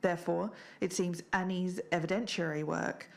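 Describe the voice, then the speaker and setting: A woman playing a podcast investigator, speaking in a measured, sceptical and deliberate way as she narrates and discusses. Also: lowish voice, fairly even intonation, gravelly, a little mellow. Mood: measured, explanatory. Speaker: podcast co-host.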